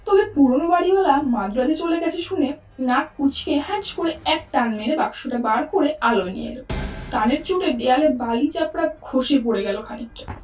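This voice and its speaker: Woman telling a suspense story, high expressive voice, echoey and a bit far off, soft music behind. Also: normal speed. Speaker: narrator. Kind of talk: dramatised story narration. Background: faint music.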